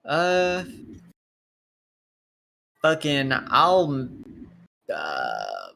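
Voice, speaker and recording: A man's voice: mid-range voice, huge pitch swings, drawn-out words, gravelly, pushed and bright. A man chatting casually as a tabletop roleplay player, hesitantly thinking aloud and swearing casually. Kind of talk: casual game chat.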